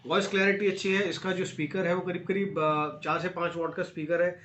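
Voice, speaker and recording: A man's voice: high voice, level tone, a little fast, a bit bright. A man with an Indian accent giving a casual, step-by-step product review, mixing English phrases in and addressing viewers as friends. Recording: dull lo-fi sound, faint noise, clicks.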